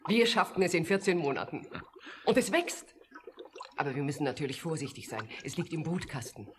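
A proud female scientist in a science-fiction radio drama. Her voice is on the low side, with an instructive, motherly manner, and there is slight noise in the recording. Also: lively intonation, brisk. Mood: proud, matter-of-fact. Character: female scientist, proud, maternal tutor to an artificial creature.

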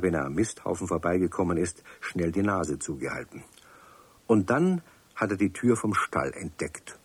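A man's voice: on the low side, breathy edge, dry recording. A man narrating a children's radio play, speaking directly to young listeners. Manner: warm storytelling, addresses young listeners. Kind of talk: scripted storybook narration.

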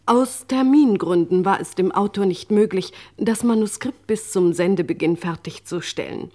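An actress playing a formal, deadpan female radio announcer in an old German radio comedy, politely apologetic and measured. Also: medium pitch, natural voice. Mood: formal, straight-faced.

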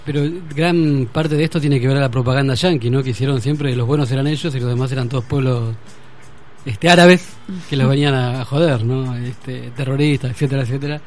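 A man in a casual conversation, reflective, often pausing on "este". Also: mid-range voice, a little mellow. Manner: reflective, uses filler "este".

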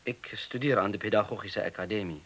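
A man's voice: lowish voice, fairly quick, a bit bright, slightly rough. A man playing a polite, guarded young student from Turkey in a radio drama, speaking carefully and hesitantly with formal courtesy. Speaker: actor in a radio play. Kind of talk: naturalistic radio drama acting.

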